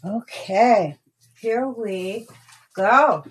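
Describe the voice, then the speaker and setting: A woman narrating a rhyming children's story in a deliberate, singsong storytelling manner. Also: on the high side, breathy edge, poor audio. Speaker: author reading own story.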